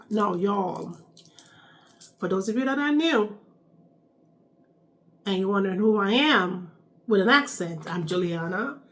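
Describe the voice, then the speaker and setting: A woman's voice: medium pitch, a little hiss or hum, low-quality recording. A young Jamaican woman with a Jamaican accent, chatting casually in a storytime vlog, repeating phrases and tagging lines with "okay?". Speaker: vlogger.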